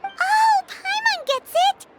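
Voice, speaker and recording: A woman voicing a small, chatty, curious childlike companion creature in a video game, with a squeaky voice. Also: lively intonation, takes it slowly, heavily acted. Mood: excited, curious. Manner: speaks of self in third person, exclamatory. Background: music.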